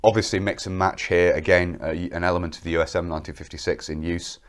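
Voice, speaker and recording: A man's voice: sits low, fairly even intonation, fast talker. A young man with a cold presenting military kit to camera in a studio, explaining each piece in a methodical, detailed way. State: has a cold.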